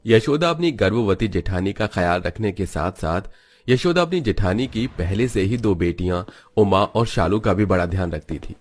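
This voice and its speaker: A man narrates a scripted moral tale in a steady, even storytelling voice. His voice sits fairly low. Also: normal speed.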